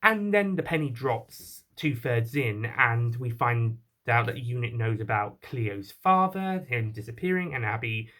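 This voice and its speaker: A man with a medium-pitched voice delivers an off-the-cuff review as an online critic. He speaks in a halting, rambling manner, often repeating words and rephrasing his point midway through. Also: animated, fairly bright tone. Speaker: online reviewer of audio drama. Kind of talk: unscripted spoken review.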